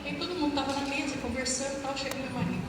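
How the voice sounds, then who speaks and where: A middle-aged woman with a Brazilian Portuguese accent telling her church testimony to an audience, a lively storyteller who acts out dialogue and asks the crowd questions. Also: high voice, rapid-fire, very noisy. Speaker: former pastor giving a testimony. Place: large hall. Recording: echoey hall from far off with music. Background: music.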